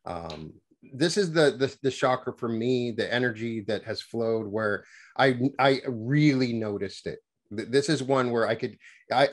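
Halting middle-aged man hosting a call. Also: mid-range voice. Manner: halting, many restarts and stammers. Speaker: podcast host. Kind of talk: conversational interview. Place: home office, indoors. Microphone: headset on a video call.

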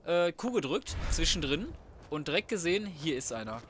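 A man's voice: a little high, very animated, moderate pace, heavy background noise. A man giving casual, slangy and crude commentary on a video game, talking to himself as he plays. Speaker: let's play gamer.

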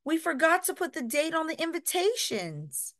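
Storybook mother pig, gentle and kind, read by a woman. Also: very high-pitched, very animated, moderate pace, pitched above the performer's own voice, full character voice. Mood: motherly.

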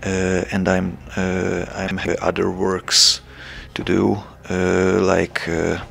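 A young man from Hungary with a Hungarian accent, making a hesitant, casual announcement to his channel's viewers, pausing often with fillers. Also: deep voice, gravelly, fairly bright tone. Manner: hesitant, many filler pauses. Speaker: channel creator.

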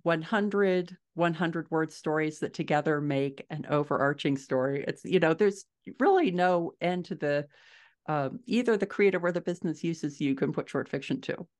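A woman's voice: low voice, moderate pace, a little mellow. A middle-aged woman explaining things conversationally as a guest author in an interview. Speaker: podcast guest, fiction author. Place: indoors at home, small furnished room. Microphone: video call.

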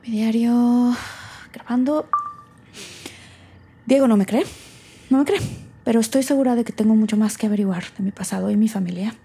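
A woman's voice: on the high side, normal speed, vocal fry, pauses often, warm tone, a little hiss or hum. An actress playing an anxious young woman alone in an old house, with a Mexican accent, talking nervously to herself in an audio drama. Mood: earnest, puzzled. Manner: nervous self-talk, muttering, breaking into whispers. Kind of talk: naturalistic audio drama acting, spoken diary.

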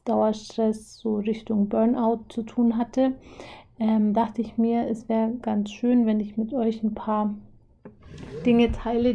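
A young woman with a hoarse, husky voice from a cold, explaining a topic casually over drawings with lots of filler pauses. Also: a little high, dark tone, dull audio. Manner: chatty, many filler pauses. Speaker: video host.

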